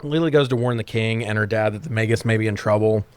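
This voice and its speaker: A man's voice: fairly low pitch, fast, smooth. A man co-hosting a casual, comedic movie recap podcast with an irreverent, heavily profane way of talking. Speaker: podcast co-host.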